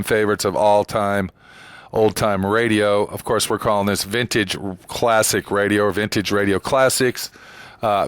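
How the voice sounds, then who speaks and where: Male host for vintage radio series: smooth, clean voice, close dry sound, informal and deliberate delivery. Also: mid-range voice, fairly bright tone, no echo. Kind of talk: casual unscripted introduction.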